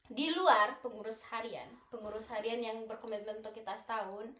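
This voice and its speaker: Echoey, higher-pitched young woman. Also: brisk, fairly bright tone. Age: young adult. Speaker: student association podcast co-host. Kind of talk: casual group chat. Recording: roomy, echoey indoor recording.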